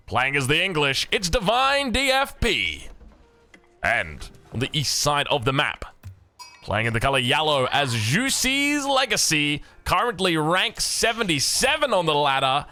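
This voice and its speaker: A young Australian man casting a video game match, energetic and excitable, his voice a little hoarse from a long day of commentary. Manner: excitable, energetic, big pitch swings. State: slightly hoarse. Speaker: game caster.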